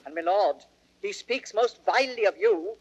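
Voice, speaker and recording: A woman's voice: medium pitch, lively intonation, moderate pace, darkish tone, slight background noise, close to an everyday voice. A garrulous tavern hostess, played by a woman, scolding and indignant in a vintage radio play. Her voice swings widely as she protests, and the recording is slightly muffled. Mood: complaining. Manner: flustered, protesting. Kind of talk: old radio Shakespeare acting.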